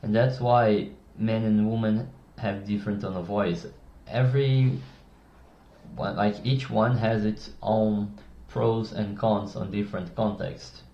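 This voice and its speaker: Low-voiced man explaining in a roomy room. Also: steady tone, takes it slowly. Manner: demonstrates voices, swears casually, rambling. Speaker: communication coach. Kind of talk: casual explainer lesson. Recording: roomy, dull lo-fi sound.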